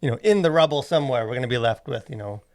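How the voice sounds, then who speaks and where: A man in a casual discussion as a podcast co-host, talking in a rambling, halting way full of filler phrases. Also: mid-range voice, animated. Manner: rambling, halting, packed with "like" and "you know". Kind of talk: casual discussion of faith and culture.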